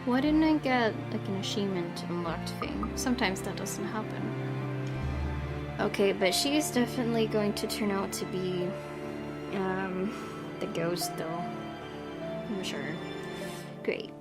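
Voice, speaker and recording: Young woman streamer reading game text with casual asides, mid-pitched, loud game music under her. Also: unhurried, pauses often. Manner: reads narration with chatty asides. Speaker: gaming streamer. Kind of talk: reading a visual novel aloud.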